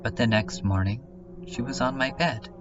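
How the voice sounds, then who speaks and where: A man playing a lonely, anguished narrator in a horror fanfiction drama, speaking in a brooding, halting monologue. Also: medium pitch, background noise, close to an everyday voice. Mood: subdued, haunted. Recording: muffled audio under loud music.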